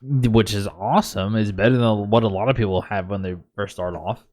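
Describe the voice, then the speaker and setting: Young male co-host, lowish voice, blunt one-liners, dry close sound. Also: steady tone, fairly quick, darkish tone, no room sound. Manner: short blunt quips, swears. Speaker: podcast co-host. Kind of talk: casual chat.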